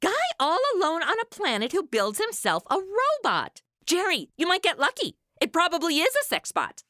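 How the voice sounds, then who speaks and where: A wisecracking young influencer, acted by a woman, high-voiced and wildly animated. She throws sarcastic, slangy asides into an old radio play with exaggerated, irreverent sass and a bright edge. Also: moderate pace, no room sound, studio quality, full character voice.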